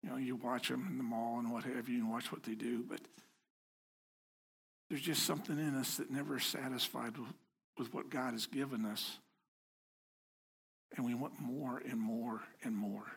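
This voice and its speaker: Husky man preaching conversationally. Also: mid-range voice, fairly even intonation, normal speed, pauses often, a bit bright, poor audio. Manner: conversational, self-deprecating, joking with the congregation. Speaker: lay preacher. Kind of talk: informal sermon. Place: house of worship before a congregation.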